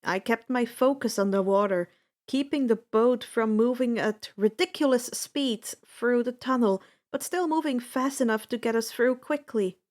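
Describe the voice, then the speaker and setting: A woman reading fan fiction aloud as an expressive first-person narrator, with a deliberate, dramatic delivery. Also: a little high.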